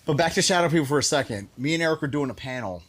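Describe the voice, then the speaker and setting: A man hosting a show, telling casual stories and acting out bits of conversation in an animated way. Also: on the high side, rapid-fire. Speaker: show host. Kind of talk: casual group storytelling.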